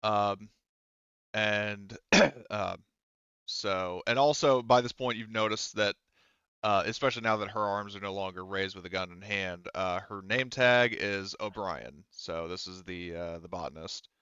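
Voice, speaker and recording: A man's voice: lowish voice, measured pace, sharp tone, smooth, no room sound, lo-fi sound. A man improvising casual narration as a tabletop game master, explaining things in a hesitant way.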